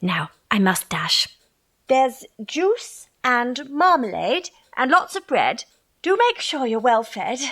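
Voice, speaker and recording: Slow, intense romantic young lover. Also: female voice, a little high, sing-song, fairly bright tone, heavily acted. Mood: tender, hurried. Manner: declarative, romantic, theatrical. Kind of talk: poetic audio drama acting.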